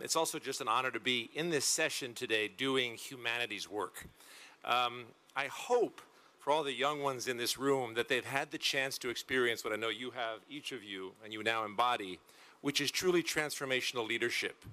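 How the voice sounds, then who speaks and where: Man, panel moderator, emphatic rhetorical delivery, bright forceful tone. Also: mid-range voice, normal speed. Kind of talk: formal panel introduction. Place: large conference stage.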